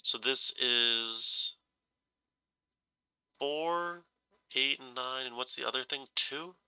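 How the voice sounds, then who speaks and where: Brief: congested man solving a puzzle aloud, bright gravelly tone, dry close sound, halting and self-correcting. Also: mid-range voice, no room sound. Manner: hesitant, musing, self-correcting. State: stuffy nose, slightly scratchy voice from a cold. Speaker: puzzle solver.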